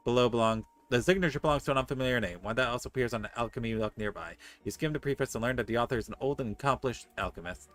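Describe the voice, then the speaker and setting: Guy streamer reading game text out loud, talks fast, jokey side comments, bit of music in the background. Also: mid-range voice. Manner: joking asides between read passages. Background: soft game music.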